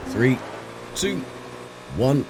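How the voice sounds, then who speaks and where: A man with a croaky voice giving casual, chatty commentary while playing a racing game, thinking aloud with fillers. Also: medium pitch, sing-song, very slow. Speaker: gaming channel host. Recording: voice over game audio with engine noise.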